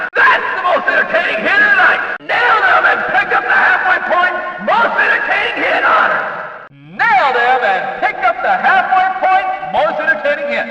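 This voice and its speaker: An echoing stadium sound with crowd noise around a rowdy demolition derby announcer for a racing video game. He hollers gleefully in a very high, piercingly bright voice, whooping and exclaiming through the commentary. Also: far from the mic. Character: rowdy, over-excited demolition derby race announcer man. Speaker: race announcer.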